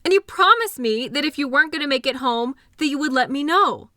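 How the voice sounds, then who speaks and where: A woman acting a hurt, anxious girlfriend in an intimate audio drama, emotional, accusatory and halting as she argues with her partner. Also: high-pitched, expressive, bright, forceful tone, dry recording, studio quality. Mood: hurt, accusing.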